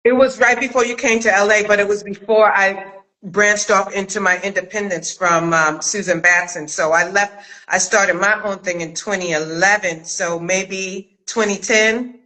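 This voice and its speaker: A middle-aged woman hosting a casual chat interview, thinking aloud with hesitant pauses. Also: mid-range voice, fairly even intonation, pushed and bright, low-quality recording. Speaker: interview host and director.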